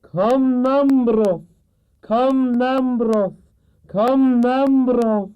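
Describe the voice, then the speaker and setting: Man voicing a slow, shrill, commanding evil witch. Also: clear, resonant tone, lo-fi sound, heavily acted. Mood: fierce chanting. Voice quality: harsh, droning. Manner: slow, imperious incantation. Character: commanding evil witch woman chanting dark spells.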